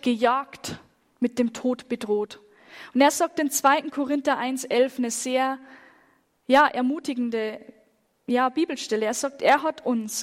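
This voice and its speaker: A woman speaking in a church, telling moving stories with an earnest tone and dropping to a hush at the gravest moments. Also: high-pitched. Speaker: church speaker on persecuted Christians. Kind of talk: sermon-style testimony.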